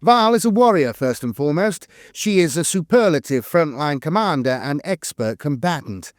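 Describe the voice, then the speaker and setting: A man reads scripted lore narration in a measured, solemn way, with dramatic emphasis on key phrases. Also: a little high, animated, no room sound, clean studio sound.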